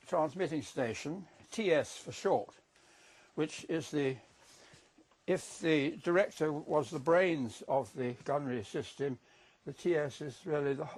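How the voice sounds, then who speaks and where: An elderly man giving a halting, conversational, unscripted explanation as a veteran guide. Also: on the high side, darkish tone. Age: over 70.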